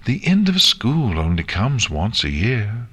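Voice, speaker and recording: A man's voice: fairly low pitch, huge pitch swings, unhurried, a bit bright, husky, pitched above the performer's own voice, heavily acted. A man voicing a kindly, encouraging adult female pony in a storybook reading. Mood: cheerful.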